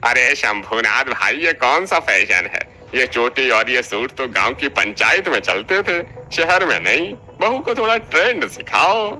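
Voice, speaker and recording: A man's voice: mid-range voice, sing-song, unhurried, few pauses, pushed and bright, full character voice. A man playing a mocking middle-aged uncle in a family cartoon, teasing and scornful. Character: mocking middle-aged male house guest, a sneering uncle. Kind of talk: Hindi cartoon family drama dialogue.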